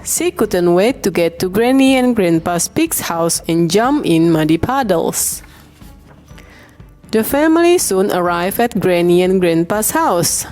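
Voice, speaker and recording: Female storybook narrator, unhurried and animated, medium pitch, soft music bed behind. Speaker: storybook reader. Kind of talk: slow children's bedtime storybook narration.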